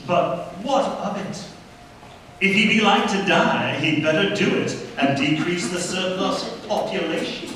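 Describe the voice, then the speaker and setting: Solemn ghost, booming echoey hall. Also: male voice, fairly bright tone, distant mic. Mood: stern reproach. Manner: grave, declamatory, measured. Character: solemn, stern male Christmas ghost, grandly reproachful. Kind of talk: stage radio play acting. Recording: very echoey hall from afar, faint noise.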